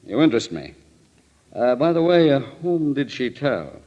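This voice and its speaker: An actor playing a suave, smug crime boss with a pompous, bookish politeness in a 1940s radio drama. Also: male voice, medium pitch, very animated, normal speed, dark tone, close to an everyday voice. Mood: smug, evasive. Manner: bookish, pompous, genteel wording. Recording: muffled old radio recording.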